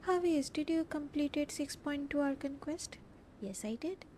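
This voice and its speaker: A woman's voice: high voice, measured pace, warm tone, a little hiss or hum. A woman from India with an Indian accent, chatting casually as she live-streams a video game. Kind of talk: casual live game commentary, reading dialogue choices aloud.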